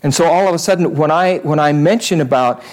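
A man's voice: a little high, brisk, poor audio. A male pastor giving a conversational sermon in church, repeating phrases for emphasis.

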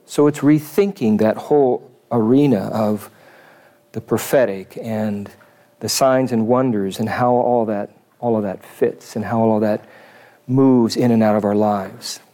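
A man's voice: on the low side, steady tone, dark tone. Man giving a reflective sermon. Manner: thoughtful, measured, reads quotes aloud.

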